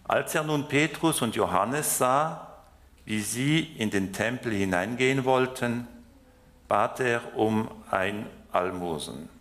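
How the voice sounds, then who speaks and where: A man from France who grew up speaking French, with a Swiss German accent, preaching a sermon in a slow, hesitant way with fillers and restarts. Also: on the low side, a bit bright. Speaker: lay preacher.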